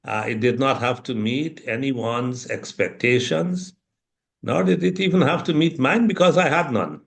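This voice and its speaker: Older guyana-born man, interview guest, slow thoughtful talk, lots of ums. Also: medium pitch, vocal fry. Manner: measured, thoughtful, with many pauses and fillers.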